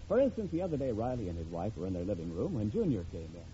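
Swooping, mellow vintage radio announcer, male. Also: on the low side, wildly swooping pitch, rapid-fire, slight background noise. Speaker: announcer and narrator. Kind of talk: wry scripted radio narration, 1940s-50s. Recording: dull old radio recording, music behind.

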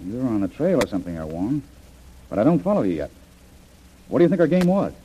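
An actor playing a decisive, troubled adventurer man with a husky voice in an old-time radio adventure. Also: mid-range voice, sing-song, fast, dark tone, slight background noise, lightly acted. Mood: wary. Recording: dull old radio recording.

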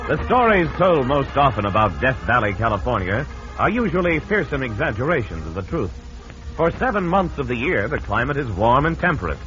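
A man's voice: mid-range voice, fairly bright tone. A man narrating a radio western in a dramatic, scripted old-time announcer style. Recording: muffled vintage radio recording. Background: theater organ music.

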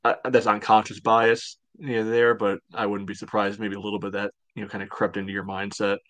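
Male podcast co-host, slightly deep voice, dry close sound, casual sports discussion. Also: monotone, brisk, fairly bright tone, no echo.

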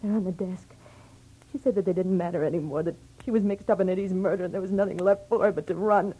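A woman playing a fragile, desperate femme fatale in 1940s radio melodrama, halting and emotional. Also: on the low side, steady tone, dark tone, slightly rough, low-quality recording. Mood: shaken, explaining. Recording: vintage radio drama.

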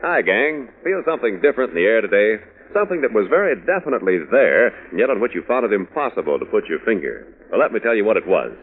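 A male announcer chats to youngsters in folksy old radio commercial style. His pitch bounces freely, and the sound is narrow and muffled like an old broadcast. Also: big pitch swings, fast talker. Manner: chummy, folksy, addressing youngsters. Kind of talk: 1940s radio commercial patter.

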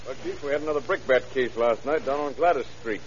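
A man's voice: medium pitch, fast, natural voice. An actor playing a determined male police lieutenant in an old radio drama, talking in a clipped, businesslike manner. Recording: muffled, hissy old radio recording.

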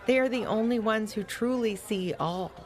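A woman voicing a stern, sly male coyote leader in a children's story, speaking deliberately and with authority. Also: on the high side, some chatter behind, pitched above the performer's own voice, lightly acted. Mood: commanding.

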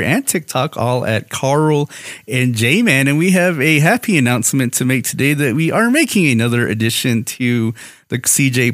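A man's voice: medium pitch, no echo. A man hosting a podcast in a studio, teasing his guest and reading out show announcements. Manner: teasing, then steady announcing. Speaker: podcast host. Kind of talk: casual chat, show wrap-up.

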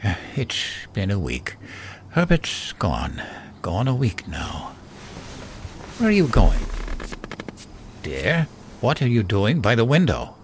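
A man playing a gentle, bereaved elderly husband and father in a horror audio drama, hesitant and pleading. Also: on the low side, wildly swooping pitch, takes it slowly, long pauses, a little hiss or hum, full character voice. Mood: tender, weary.

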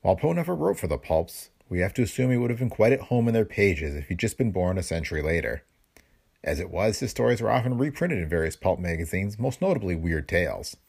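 A man hosting a podcast, reading a scripted introduction in a gravelly, husky voice. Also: low-pitched, big pitch swings, quick pace, a little mellow. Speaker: podcast host.

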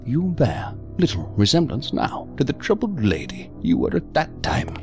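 An actor playing a calm, evasive male psychiatrist in an audio drama, speaking in a measured, formal, unctuous manner. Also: mid-range voice, music in the background. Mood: smooth, condescending.

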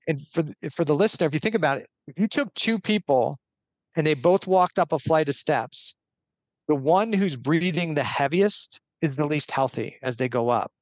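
A man's voice: fairly high pitch, lively intonation, normal speed, no room sound, low-quality recording. A man talking as a guest expert on a podcast, explaining earnestly step by step with a few restarts.

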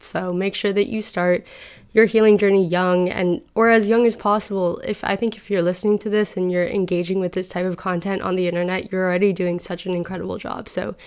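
A woman's voice: fairly low pitch, darkish tone, smooth. A woman in her twenties hosting a solo podcast, talking through life advice in an earnest, chatty and reflective way into a podcast microphone. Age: 20s. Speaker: podcast host.

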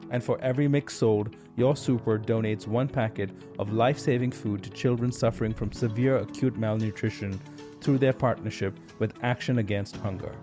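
A man hosting a podcast, reading a scripted intro and then asking questions in a relaxed way with fillers. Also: on the low side, normal speed. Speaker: podcast host. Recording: intro with guitar music.

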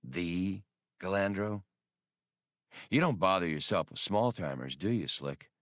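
A man's voice: deep voice, lively intonation, measured pace, a bit bright, dry recording, clean studio sound. A man voicing a seasoned male adventurer, a wry old comrade with a confiding, slangy manner. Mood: probing, wry.

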